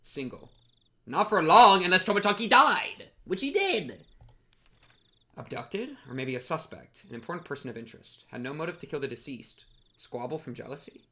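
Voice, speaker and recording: A man's voice: on the high side, big pitch swings, moderate pace, sharp tone. A young man giving casual, slangy playthrough commentary on a visual novel and reading text aloud. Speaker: streamer playing a visual novel.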